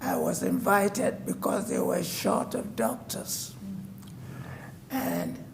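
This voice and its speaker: Slow gravelly man in his nineties. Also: sits high, animated, a little hiss or hum. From West Africa. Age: 90s. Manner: slow, formal, storytelling. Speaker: resident addressing a code enforcement board. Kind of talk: personal testimony at a public meeting. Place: city council chamber.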